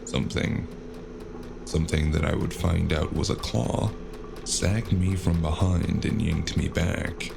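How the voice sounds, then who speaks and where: Very gravelly, deep-voiced man narrating. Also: fairly even intonation, a little slow, background noise. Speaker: narrator of a cyberpunk audio drama. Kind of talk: scripted first-person story narration. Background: loud music.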